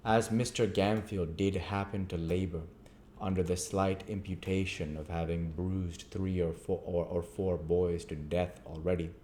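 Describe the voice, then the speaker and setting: A man reading a classic story aloud as scripted narration, deliberately and phrase by phrase. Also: low-pitched, steady tone, warm tone, a little hiss or hum. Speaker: audiobook reader.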